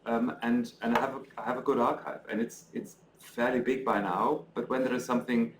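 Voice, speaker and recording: A middle-aged man speaks English with a German accent, pausing often with ums and restarting his sentences. His dry, self-mocking remarks come through clearly. He stands some way from the mic in a roomy, echoing lecture hall. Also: medium pitch, level tone, far from the mic, noisy background. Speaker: author presenting his book. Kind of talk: lecture talk, then interview answers. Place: lecture room.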